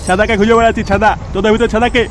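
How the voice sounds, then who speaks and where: Man playing a high-voiced, rude cartoon goon. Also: level tone, racing, close to an everyday voice. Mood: menacing. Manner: gruffly demanding, sweary. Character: rude, menacing hired goon hunting someone. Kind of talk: exaggerated comedy cartoon acting. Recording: noisy track with street and vehicle ambience.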